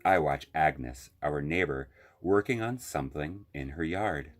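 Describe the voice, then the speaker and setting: A man reading a children's picture book aloud as a library storyteller, with deliberate phrasing and long pauses. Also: extremely low pitch, faint music behind.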